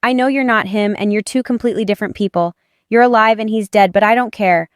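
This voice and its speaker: Woman acting a defiant young romance heroine, clear voice. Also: medium pitch, quick pace, no echo, studio quality, lightly acted. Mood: furious, defiant. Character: angry, grieving young heroine, defiant and panicked. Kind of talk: romance audio drama acting.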